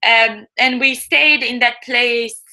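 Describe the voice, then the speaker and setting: A woman's voice: on the high side, steady tone, slow, sharp tone, poor audio. A woman in her thirties from eastern Europe, not a native English speaker, telling her story in an interview with many hesitations. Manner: hesitant, many fillers and restarts, laughs often. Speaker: guest. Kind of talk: first-person interview account.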